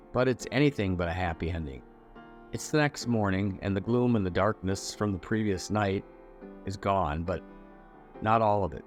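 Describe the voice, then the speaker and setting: Low-voiced man narrating over soft music. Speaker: narrator of a TV review video. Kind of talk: scripted storytelling narration.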